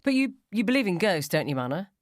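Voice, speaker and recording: Woman acting incredulous school mum, emphatic and lively. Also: medium pitch, quick pace, dry recording, studio quality, pitched above the performer's own voice, lightly acted. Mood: scoffing.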